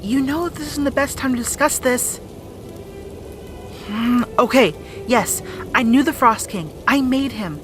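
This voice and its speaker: High-voiced, earnest young princess played by a woman. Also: a little slow, music in the background, natural voice. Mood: anxious, confessing. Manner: pleading, emotional. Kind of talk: fantasy audio drama acting.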